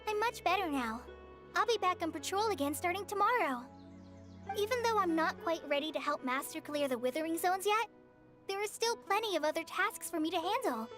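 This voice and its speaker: A woman's voice: very high-pitched, animated, a little fast, a bit bright, soft background music. A woman voicing an earnest, shy teenage girl, a trainee forest ranger in a video game, speaking sincerely and a little hesitantly. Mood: earnest, determined.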